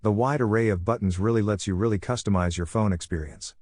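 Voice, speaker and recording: Low-voiced man narrating product reviews. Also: fast, a little mellow, no room sound.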